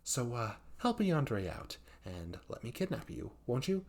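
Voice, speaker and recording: Guy doing a hapless yandere student, creaky mid-pitched voice, stammery n needy, melodramatic comedy roleplay. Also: big pitch swings, a little slow. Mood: whiny pleading. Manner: flustered, stammering, pleading, melodramatic. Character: hapless, clingy young male yandere student, sweet and desperate. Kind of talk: comedic audio roleplay addressed to the listener.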